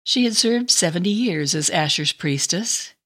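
A woman's voice: on the low side, lively intonation, normal speed, fairly bright tone. A woman reading a scripted story as dramatic narration. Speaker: guest story reader.

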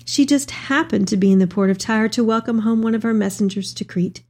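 A woman playing an elderly, devout mother reading her tender letter aloud in an expressive, affectionate dramatic reading. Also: fairly high pitch, fairly quick. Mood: fond, joyful.

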